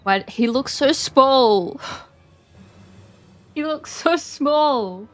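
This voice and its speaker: A woman's voice: high-pitched, lively intonation, extremely slow, soft background music. A young Australian woman chatting casually while streaming a game, rambling with lots of fillers.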